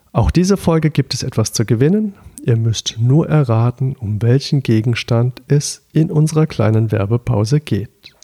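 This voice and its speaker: A man's voice: mid-range voice, vocal fry, mellow, slightly rough. A man hosting a podcast, chatting in a friendly way and asking his guest questions. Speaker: podcast host. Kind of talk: casual podcast interview.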